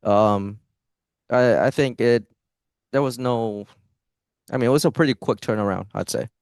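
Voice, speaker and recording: A man's voice: mid-range voice, moderate pace, vocal fry, a little mellow, no room sound, studio quality. A young man, a pro esports player, answering interview questions in a hesitant way, with many fillers and restarts. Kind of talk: post-match interview answers.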